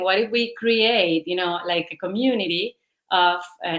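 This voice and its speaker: A woman speaks as a founder being interviewed. Her voice sits fairly low, and she rambles on with enthusiasm, full of fillers. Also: fairly bright tone. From Venezuela and Spain. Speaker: company founder, guest. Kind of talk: interview answers.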